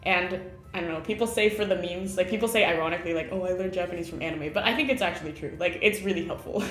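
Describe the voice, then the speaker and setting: A woman's voice: on the low side, fast talker, fairly bright tone, reverberant. A woman chatting casually in an interview about how she learned a language, laughing often and using lots of fillers.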